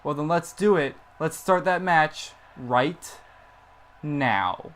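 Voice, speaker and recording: A man's voice: a little high, slow pace, a bit bright. A man giving casual, playful commentary on a wrestling game, often laughing. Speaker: gaming commentator. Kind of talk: casual let's-play commentary.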